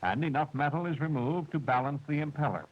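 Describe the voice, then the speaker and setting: A man narrating a 1940s military training film, with a precise, measured and formal delivery, heard through an old film soundtrack. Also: fairly high pitch, animated, dull audio. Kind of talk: scripted instructional narration.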